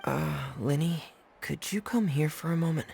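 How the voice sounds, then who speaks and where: A man's voice: fairly high pitch, moderate pace, creaky, a little mellow, a little hiss or hum. A man playing a shy, introverted teenage boy in an anime game, speaking in a hesitant, earnest, subdued way. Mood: timid. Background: background music.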